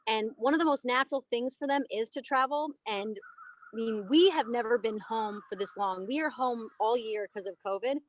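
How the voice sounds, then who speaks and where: A woman's voice: fairly high pitch, moderate pace, a little hiss or hum, low-quality recording. A woman in her thirties, an elephant trainer, talking casually and emphatically in a podcast interview. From United States. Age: 30s. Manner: animated, emphatic storytelling. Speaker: elephant trainer and aerialist guest.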